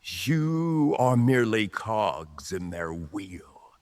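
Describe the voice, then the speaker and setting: A man voicing a menacing, mocking rebel mage leader in an audio drama, with an oratorical, drawn-out, taunting delivery. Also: on the low side, big pitch swings, darkish tone, dry recording, heavily acted. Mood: mock sympathy.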